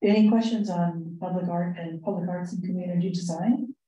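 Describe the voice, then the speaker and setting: A woman with a low, mellow voice leads a committee meeting. She explains each point in a measured, halting way. She is picked up from a distance in an echoey room. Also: fairly even intonation, muffled recording. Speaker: arts commission member leading the meeting. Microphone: distant room microphone.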